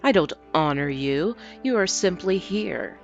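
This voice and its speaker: A woman voicing a cold, commanding, taunting fox demon spirit in a dramatic story reading, speaking deliberately and threateningly. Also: on the low side, animated, highs cut off, faint music behind. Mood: cold threat.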